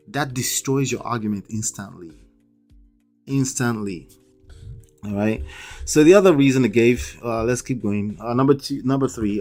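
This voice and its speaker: A man with a thick Nigerian accent talks to his live-stream audience in an emphatic, lecturing way and keeps checking in with "okay?" and "right?". His voice sits at a middle pitch, and faint music plays quietly behind him. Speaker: livestream host.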